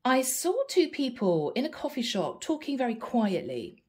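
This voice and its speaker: A woman with a head cold giving a tarot card reading, musing softly and thinking aloud as she goes. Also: on the high side, very animated, normal speed. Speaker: tarot reader.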